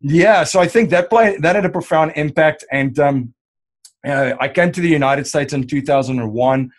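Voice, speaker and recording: South African man, 30s, relaxed interview guest, meandering answers full of fillers. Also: on the high side, normal speed. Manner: rambling, many fillers and restarts. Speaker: podcast host and wealth strategist guest.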